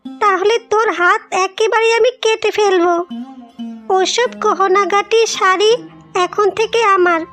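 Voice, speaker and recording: A woman's voice: very high voice, fairly bright tone. A woman voicing a spiteful, greedy older village mother-in-law in a melodramatic cartoon. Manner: scolding, threatening.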